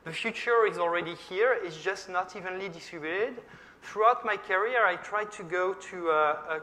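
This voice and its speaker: A man's voice: a little high, bright, forceful tone. A middle-aged man from France with a French accent, giving a friendly, chatty conference talk with many fillers and questions to the audience. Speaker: conference speaker. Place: conference hall. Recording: reverberant hall with faint background noise.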